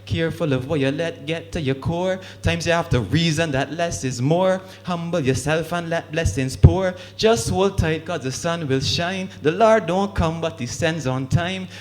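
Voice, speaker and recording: Brief: male spoken word poet, slightly high voice, measured rhyming delivery. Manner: rhyming, steady declamatory delivery. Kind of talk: rhythmic spoken word performance.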